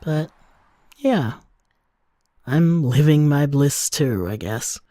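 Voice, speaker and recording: An actor playing a tender, contented bar owner in love, speaking reflectively in an audio drama voicemail. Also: male voice, a little high, animated, takes it slowly, no echo, clean studio sound. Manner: soft-hearted, reflective, conversational.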